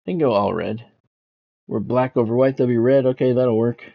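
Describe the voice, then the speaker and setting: A man's voice: medium pitch, normal speed, creaky, highs cut off. A man streaming a sports video game, mixing casual chat to viewers with play-by-play commentary and repeating himself as he goes.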